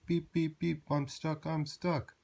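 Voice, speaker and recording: A friendly, helpful little storybook truck, acted out by a man, speaks up high in short, emphatic toots. The cries are lifted well above an ordinary reading voice. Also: level tone, takes it slowly, creaky, darkish tone, exaggerated voice. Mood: pleading. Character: friendly, helpful little blue pickup truck, beeping brightly.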